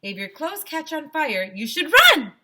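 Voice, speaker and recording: A woman's voice: sits high, huge pitch swings, measured pace, sharp tone, a little hiss or hum, voice pushed up, full character voice. A woman voicing a cheeky, wisecracking schoolboy who blurts and taunts, reading from a children's storybook. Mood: eager, blurting.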